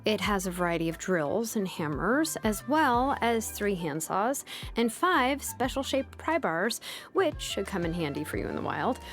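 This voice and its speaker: A woman voicing a laid-back, sleepy young female blacksmith and name engraver from a video game, languid and nonchalant with soft hesitations. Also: mid-range voice, big pitch swings, a little slow. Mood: friendly, helpful. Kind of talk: video game character voice lines. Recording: music behind the voice. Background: music.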